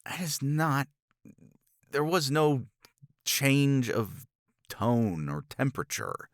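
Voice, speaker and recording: Man playing frustrated male academic, jealous, intimate ASMR roleplay, slow halting delivery, close dry recording, intense. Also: medium pitch, big pitch swings, no room sound. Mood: defensive, frustrated. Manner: halting, intense, slipping into breathy near-whispers. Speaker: audio roleplay voice actor.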